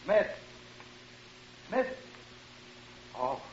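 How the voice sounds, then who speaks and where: An actor playing a fretful, excitable house owner, anxious and full of questions, in 1940s radio drama style. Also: male voice, drawn-out words. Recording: muffled, noisy vintage radio recording.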